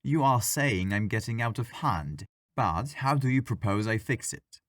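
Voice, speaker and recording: A man playing a friendly, bored, mischievous ghost in an intimate ASMR audio roleplay, talking in a playful, wry, gently argumentative way. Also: medium pitch, lively intonation, a little slow, no room sound, clean studio sound, natural voice. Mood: playfully argumentative.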